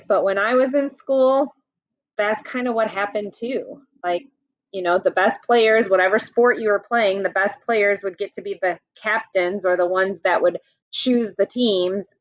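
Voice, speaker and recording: A woman teacher reading a picture book aloud to children, gently pausing to ask them questions about the pictures. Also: mid-range voice. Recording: dull lo-fi recording.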